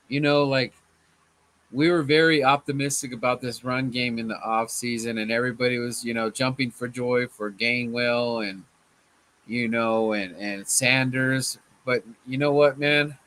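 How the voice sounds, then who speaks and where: A man hosting a casual sports fan chat, his voice a little hoarse from chanting, laid-back and often saying "man". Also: medium pitch, sharp tone. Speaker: fan channel host.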